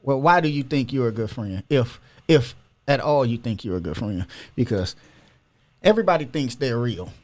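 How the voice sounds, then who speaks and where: A man's voice: mid-range voice, expressive, moderate pace, a little mellow. A man hosting a casual podcast, talking loosely and opinionated with lots of slang. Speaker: podcast host.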